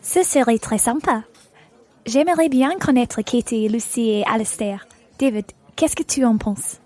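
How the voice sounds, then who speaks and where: Agreeable female tourist, played by an actress, slightly high pitch, polite, neat phrasing, lesson dialogue. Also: a little slow, mellow. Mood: polite, pleased. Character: polite, agreeable female holidaymaker dining with new friends. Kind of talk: scripted educational radio play.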